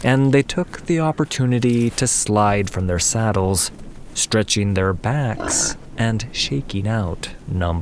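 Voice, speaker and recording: A man narrating a scripted fantasy story in a measured, solemn manner. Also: on the low side, a little mellow, a little hiss or hum. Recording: faint ambience behind the voice.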